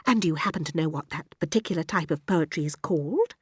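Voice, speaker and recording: A woman voicing a gentle, encouraging female schoolteacher in a storybook, kindly, prim and attentive. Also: low voice, quick pace, breathy edge, no room sound, clean studio sound. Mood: gently instructive.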